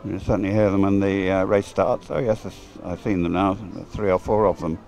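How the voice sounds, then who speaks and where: A man's voice: sits low, steady tone, a little fast, gravelly, a little mellow. A man giving live race commentary in an understated, searching way. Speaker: sports commentator.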